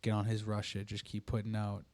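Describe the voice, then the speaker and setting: A man's voice: lowish voice, monotone, fairly quick, a little mellow, a little hiss or hum. Laid-back man chatting about music. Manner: laid-back, rambling, swears casually. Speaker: podcast co-host. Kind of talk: casual music reaction chat.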